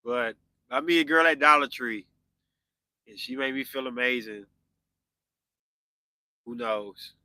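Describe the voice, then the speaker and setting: A man's voice: medium pitch, unhurried, pauses often, bright, forceful tone, no echo. A man in his forties hosting a podcast, chatting casually with live viewers and answering their comments. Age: 40s. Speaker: podcast host.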